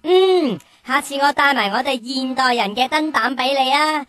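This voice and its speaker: A woman's voice: high voice, normal speed, fairly bright tone. A woman playing an eager, naive little boy adventurer in a children's radio drama, boyish and exclamatory. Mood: eager, excited.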